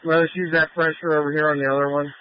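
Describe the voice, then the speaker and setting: A man's fairly high voice comes through a thin, narrowband two-way radio. He is a fire operations officer giving clipped, procedural instructions in emergency radio traffic. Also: level tone, rapid-fire, dry recording. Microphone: radio handset.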